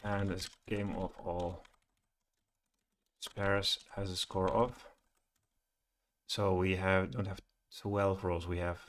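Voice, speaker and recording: A middle-aged man thinking aloud as he presents a coding screencast, halting and muttering to himself at a computer. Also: sits low, level tone, a little hiss or hum. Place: small room indoors at home, at a computer. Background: keyboard typing and clicking.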